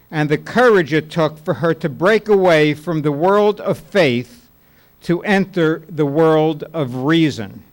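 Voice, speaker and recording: A man giving a formal introduction for a guest speaker in a slow, deliberate way. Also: a little high.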